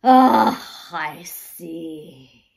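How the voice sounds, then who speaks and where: A woman's voice: fairly low pitch, lively intonation, drawn-out words, vocal fry, full character voice. A woman voicing an exasperated, dramatic old grandfather in a storybook, with theatrical exclamations and sighs. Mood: mock weary.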